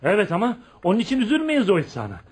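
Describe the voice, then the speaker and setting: A man's voice: high-pitched, wildly swooping pitch, fast talker, slightly rough, exaggerated voice. A man acting a fierce, bossy, protective young man in a Turkish radio play, with an emphatic, theatrical delivery. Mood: grave, resolute.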